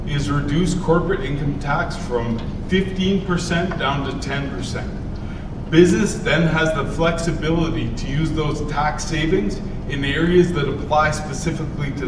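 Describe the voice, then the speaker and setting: A man speaking as a political candidate, reading out a prepared statement. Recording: echoey room, distant mic, rumbling noise.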